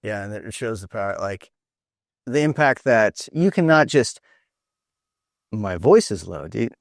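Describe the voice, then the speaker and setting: Guy with a lowish voice hosting a casual webinar, earnest, stumbles a bit, no room echo. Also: very animated, unhurried, creaky, studio quality. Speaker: presenter hosting an online talk. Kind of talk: informal webinar presentation. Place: video call.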